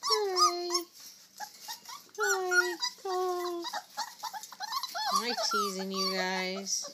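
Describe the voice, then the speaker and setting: An extremely high voice coos to puppies in sing-song baby talk. The pitch swoops dramatically and short phrases come very slowly, often repeated. It is a very noisy home recording with puppies whimpering behind. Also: wildly swooping pitch. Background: whimpering puppies, clicks.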